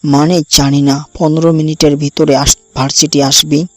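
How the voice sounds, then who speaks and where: A man's voice: fairly high pitch, level tone, moderate pace, dry recording, lightly acted. A man playing a possessive, threatening young campus bully in an audio drama, speaking with commanding contempt. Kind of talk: audio story acting.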